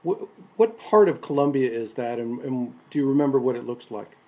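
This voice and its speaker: Measured male radio host. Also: medium pitch, lively intonation, dark tone. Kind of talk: radio interview and introduction.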